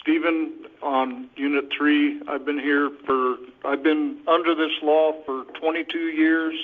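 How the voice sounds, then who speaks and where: A man giving testimony as a caller on a telephone conference call, matter-of-fact in manner. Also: mid-range voice. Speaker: patient caller giving a complaint. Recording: thin, narrow phone line.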